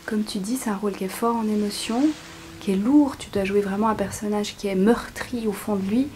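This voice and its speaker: A woman's voice: medium pitch, fairly even intonation, fairly quick, darkish tone. Woman interviewer over faint background noise. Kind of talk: informal interview questions. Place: musical theatre school after rehearsal.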